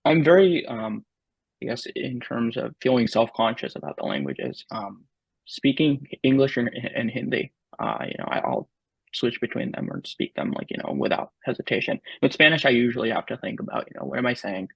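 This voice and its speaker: A man speaking as an interview guest in a casual, halting way, full of ums and likes. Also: medium pitch, big pitch swings, vocal fry, slightly rough, no echo, highs cut off. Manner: halting, filler-heavy, lots of "like" and "um". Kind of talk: casual conversation.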